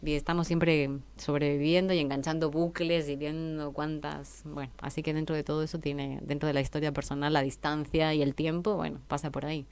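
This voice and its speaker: Guest interview: Spanish woman, 40s, low smooth voice, thoughtful and halting. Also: flat delivery, darkish tone. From Spain. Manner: reflective, hesitant with many fillers, laughs easily. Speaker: guest author. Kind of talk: radio interview.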